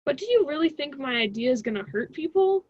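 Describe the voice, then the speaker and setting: A woman's voice: sits high, animated, fast, dry recording. A young woman playing an impatient, scheming college student with a flippant, casual manner in an amateur radio drama. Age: young adult. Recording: dull, dry lo-fi recording.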